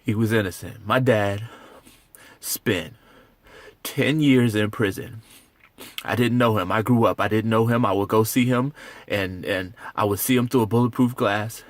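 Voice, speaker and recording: A man performing a dramatic monologue as a grieving, bitter grown son of an executed father, with halting, emphatic repetition. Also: on the low side, no room sound, lightly acted. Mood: bitter, anguished.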